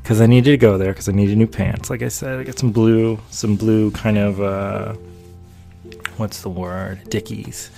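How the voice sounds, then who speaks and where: A gravelly man from North Carolina talks casually about his painting and his day. His voice sits fairly low and rambles along with easy amusement, with soft music far in the background. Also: fairly even intonation, unhurried. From North Carolina, USA. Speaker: painter and video maker. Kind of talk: casual talking-head chat.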